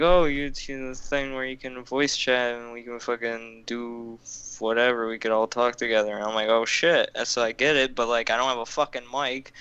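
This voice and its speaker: A man's voice: mid-range voice, bright, forceful tone, clear, resonant tone, highs cut off. A young man casually telling an old story on a podcast, rambling and swearing, with many fillers and restarts. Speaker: podcast co-host.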